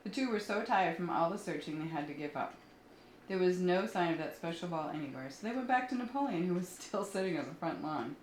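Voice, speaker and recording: A woman with a fairly low voice tells children's stories aloud. She reads expressively and pauses to explain things to young listeners. The recording is roomy, with faint background noise. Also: lively intonation. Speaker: children's story reader. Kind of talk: storytelling read aloud for children, with asides.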